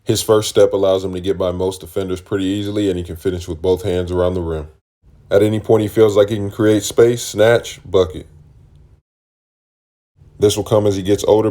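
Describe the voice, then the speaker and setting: A man's voice: low-pitched, fairly even intonation, a little fast, dark tone. A man reading scripted voice-over with coaching tips, instructive in tone. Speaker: basketball coach narrator.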